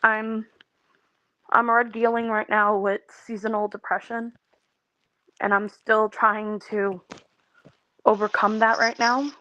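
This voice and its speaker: A woman in her twenties venting in a personal video, talking in a halting, weary way with many pauses and restarts. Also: on the high side, little pitch movement, pushed and bright, no room sound, highs cut off. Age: 20s. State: emotionally tired. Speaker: content creator.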